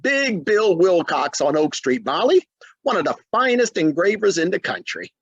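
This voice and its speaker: A man's voice: high voice, very animated, moderate pace, a bit bright, slightly rough, dry recording, lo-fi sound, exaggerated voice. A man acting a genial family friend with salesman-like patter in an old-time radio comedy. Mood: friendly.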